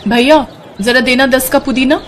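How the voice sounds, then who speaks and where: A woman's voice: high-pitched, steady tone, normal speed, some chatter behind, a little hiss or hum, voice pushed up, natural voice. A woman voicing an indignant village customer in a moral story, scolding and complaining sharply. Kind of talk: Hindi moral story voice acting.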